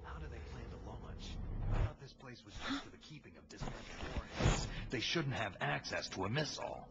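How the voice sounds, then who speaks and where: A man playing a terse, cool, hardened special-forces infiltrator in a video game cutscene, with a gravelly, breathy rasp. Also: medium pitch, expressive, a little fast, very noisy. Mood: probing, serious. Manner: terse, commanding. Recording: dull game audio under music. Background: loud music.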